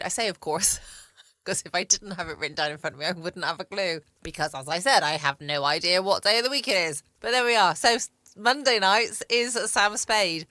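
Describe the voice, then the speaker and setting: Bright, chatty southern English woman. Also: mid-range voice, dry recording. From England. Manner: chatty, rambling. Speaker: podcast host. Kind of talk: casual chatty podcast introduction. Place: at home, dry close recording.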